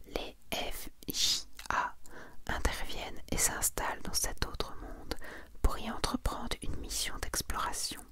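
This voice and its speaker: Female ASMR host. Breathy whisper, very slow pace, gentle read-aloud presentation. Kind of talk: ASMR manga presentation, reading summaries aloud.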